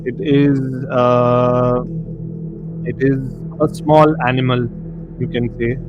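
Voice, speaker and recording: A man's voice: medium pitch, fairly even intonation, takes it slowly. A man hosting a casual livestream movie discussion, speaking conversationally and repeating phrases for emphasis. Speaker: host of a horror discussion livestream. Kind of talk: casual movie review chat. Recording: muffled audio with clear background music. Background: music bed under the voice.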